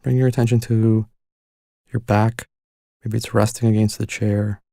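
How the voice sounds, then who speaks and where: Slow, mellow man guiding meditation. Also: fairly low pitch, vocal fry, dry recording, clean studio sound. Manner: calm, gentle, unhurried with long pauses. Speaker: meditation guide. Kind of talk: guided meditation, calm instruction.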